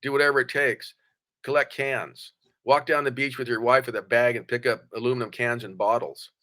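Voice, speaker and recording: A man's voice: medium pitch, fairly even intonation, fairly quick, pushed and bright. An older man as a podcast guest, speaking bluntly and plainly, giving motivational advice in conversation. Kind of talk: conversational interview.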